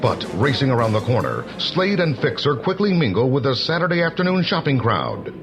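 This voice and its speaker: A man's voice: mid-range voice, huge pitch swings, a little fast. A man reading dramatic scripted narration for a comic-book story. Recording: loud music under the voice.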